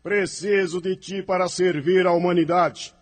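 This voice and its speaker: A man's voice: sits high, fairly even intonation, measured pace. A man playing a solemn, benevolent spirit emissary in an audio drama, speaking in a formal, exhorting way. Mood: grave appeal.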